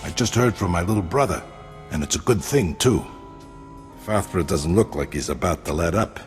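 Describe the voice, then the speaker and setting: An actor playing a weary, streetwise ex-gangster who talks colloquially in a video game cutscene. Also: male voice, sits low, lively intonation, normal speed, faint music behind. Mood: relieved, warning. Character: weary ex-gangster man, cautious streetwise older brother.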